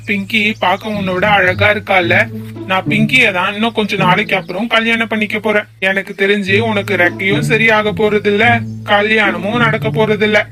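A man's voice: high voice, lightly acted. A man voicing a vain, self-important young male sparrow in a children's cartoon story.

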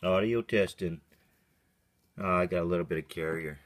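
A man's voice: sits low, moderate pace. A man casually testing a CB radio at his workbench in short, clipped calls, muttering to himself as he goes. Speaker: CB radio hobbyist testing a vintage radio. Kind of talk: casual radio check chat. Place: cluttered indoor radio workbench. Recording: clearly noisy handheld recording with clicks.

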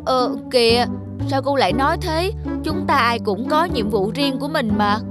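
Woman voicing a high-voiced, patient guard dog. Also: moderate pace, over music, natural voice. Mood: puzzled, polite. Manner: polite, gently reasoning. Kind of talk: dubbed cartoon fable acting.

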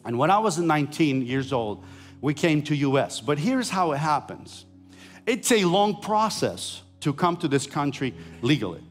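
A middle-aged pastor with a Ukrainian accent preaches from a big church stage into a headset mic, his fairly high voice climbing for emphasis and then dropping low and soft. Faint music plays underneath. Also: normal speed. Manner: animated preaching, swings between rising emphasis and quiet lowered lines. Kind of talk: sermon.

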